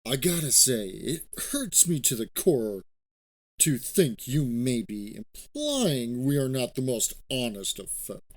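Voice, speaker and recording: An actor playing an angry, middle-aged biker bully in an audio drama, with a menacing, mocking delivery. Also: male voice, mid-range voice, sing-song, slow, no echo, exaggerated voice. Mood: mocking menace.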